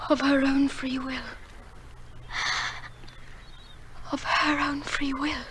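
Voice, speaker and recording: A woman playing a shy, tender young fisher girl, soft and earnest, in old-time radio drama style. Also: high-pitched, level tone, a little slow, heavy background noise. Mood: torn, sad.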